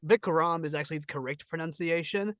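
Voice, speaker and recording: A man's voice: on the high side, fairly quick, no room sound, clean studio sound. A man with a New Zealand accent hosting a casual interview in an informal, encouraging, jokey way with some swearing. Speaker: interview host.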